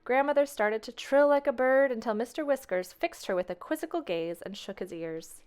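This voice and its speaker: A woman storyteller reading a children's tale aloud with expressive narration. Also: on the high side, moderate pace, clean tone.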